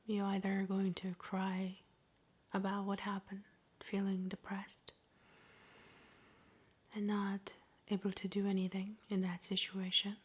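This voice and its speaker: A woman guiding an ASMR meditation in a soft, breathy whisper with a gentle, soothing delivery. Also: medium pitch. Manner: slow, gentle, soothing delivery with long pauses.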